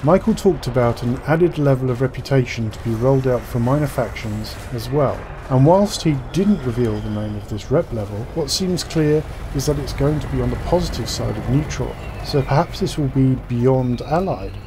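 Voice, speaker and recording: A man's voice: mid-range voice, animated, normal speed, hardly any pauses, noisy background. A man giving scripted game news commentary as a gaming commentator, articulate and formal in his wording.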